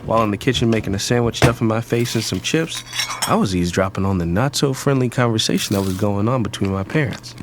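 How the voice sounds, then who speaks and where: A man's voice: fairly low pitch, quick pace, noisy background, close to an everyday voice. A young man playing a disgruntled son in an audio drama, narrating in the first person in a casual, slangy, conversational way. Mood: casual, wry. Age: young adult. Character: disgruntled young son from a troubled wealthy family. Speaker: actor and narrator.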